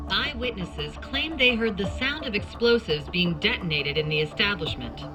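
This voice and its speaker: A woman playing a serious TV news reporter in a video game, reading a formal, measured report. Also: mid-range voice, lively intonation, music in the background, natural voice. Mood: sober, formal.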